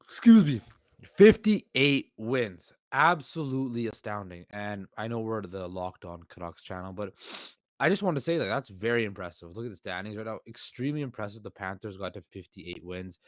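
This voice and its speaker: A man hosting a solo sports podcast, with a hoarse voice and a rambling delivery full of fillers and restarts. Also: on the low side, lively intonation, moderate pace, dry recording, clean studio sound. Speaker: podcast host.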